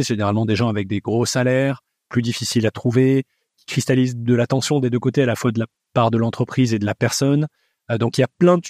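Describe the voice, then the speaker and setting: A male podcast host with a mid-range voice asks his guest questions in a friendly, brisk way. The recording is dry and close. He hesitates now and then before his questions. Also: medium pitch, a little mellow, no echo. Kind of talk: podcast interview.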